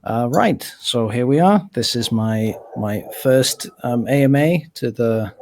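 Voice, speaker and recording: A man's voice: medium pitch, expressive, measured pace, a little mellow, a little hiss or hum. A middle-aged man with a cold and a nasal voice, explaining his legal work on a community call in a thoughtful, hesitant way. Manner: thoughtful, hesitant with frequent fillers and restarts. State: sick with a cold. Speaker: lawyer for a crypto organization.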